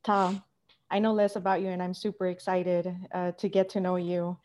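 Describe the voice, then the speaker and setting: Woman hosting a panel, really soft dark tone, bit low, informal and chatty. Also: flat delivery, moderate pace. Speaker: panel moderator. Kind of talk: informal moderated panel discussion.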